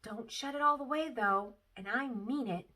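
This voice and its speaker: A woman's voice: a little high, moderate pace, sharp tone. A woman reading a children's storybook aloud as a bold, chatty little kindergarten girl, emphatic and exclamatory. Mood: bossy. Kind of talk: expressive children's read-aloud.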